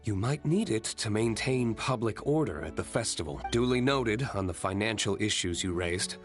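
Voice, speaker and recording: A man's voice: fairly low pitch, expressive, moderate pace, faint music behind, close to an everyday voice. An actor playing an aloof young male winery master in a video game, speaking in a curt, dry, reserved manner. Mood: dry, resigned. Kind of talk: video game character dialogue.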